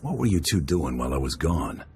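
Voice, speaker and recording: A man's voice: extremely low pitch, very animated, brisk, dark tone, full character voice. A man playing a grim bounty hunter who speaks in terse, cold, threatening lines in video game dialogue. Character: grim male bounty hunter, curt and menacing. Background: faint game music.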